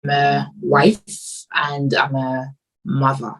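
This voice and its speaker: A young woman from Ghana, a nurse, explaining things in a measured way with frequent ums as an interview guest. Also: extremely low pitch, level tone, poor audio. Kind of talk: interview answers.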